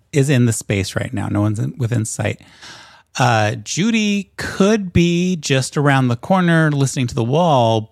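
Man narrating a tabletop game, slow and lush. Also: medium pitch, creaky, a little mellow, no room sound, studio quality. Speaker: game master.

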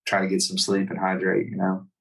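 A man's voice: low voice, completely flat delivery, brisk, vocal fry, far from the mic, rough recording. A man, a touring singer, talking casually in an interview with many fillers and restarts. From United States.

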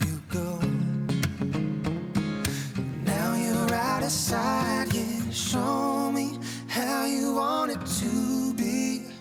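A woman singing an acoustic pop song over plucked guitar. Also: on the high side. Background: plucked guitar accompaniment.

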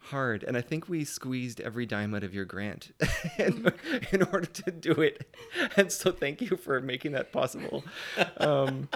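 A man's voice: medium pitch, expressive, normal speed, few pauses. A man speaking as an interview guest in casual chat, loose and giggly, often trailing off mid-thought.

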